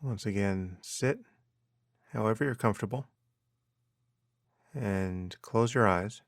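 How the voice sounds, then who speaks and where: A man guiding a meditation as a teacher, giving measured instructions with unhurried pauses. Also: fairly low pitch, dry recording, clean studio sound. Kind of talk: guided meditation narration.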